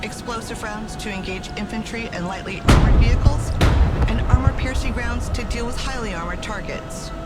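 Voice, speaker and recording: A woman's voice: fairly high pitch, moderate pace, loud music behind the voice, close to an everyday voice. A woman playing a composed army major who explains weapons formally in a scripted video game. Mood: instructive. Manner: formal, explanatory military briefing. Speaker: military officer and instructor.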